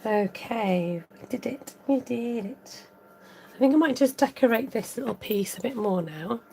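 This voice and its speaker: A woman hosting a live card-making tutorial, thinking aloud as she works, chatting with viewers and reading out their comments. Her voice is a little croaky. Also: a little high, expressive, normal speed, background noise. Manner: rambling, thinks aloud while working. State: slightly croaky voice. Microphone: overhead camera above a craft table, voice from off-frame.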